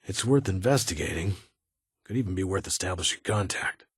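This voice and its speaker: A man's voice: low voice, expressive, fairly quick, breathy edge, no echo, clean studio sound, heavily acted. A man playing a stern, guarded military security chief in a video game, with a clipped, authoritative and brusque manner. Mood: guarded, reflective. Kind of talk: video game character dialogue.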